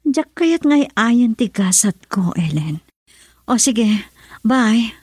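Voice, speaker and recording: A woman acting a troubled, secretive young woman in a radio drama, breathy and hesitant. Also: fairly high pitch, big pitch swings, slow, darkish tone, no room sound, studio quality, heavily acted. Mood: pleading, defensive.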